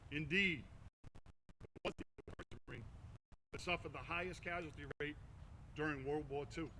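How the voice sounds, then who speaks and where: A man delivering a formal prepared commemorative speech in solemn, measured phrases. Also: fairly high pitch, background noise. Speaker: ceremony speaker.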